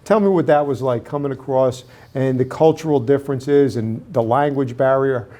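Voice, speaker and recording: Man hosting an interview, steady questions. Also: medium pitch, normal speed. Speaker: host, managing partner of a law firm.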